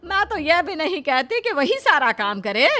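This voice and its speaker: A woman's voice: sits high, very animated, moderate pace, music in the background, voice pushed up. A woman voicing a patient, resigned old mother-in-law in a cartoon story, mild in manner.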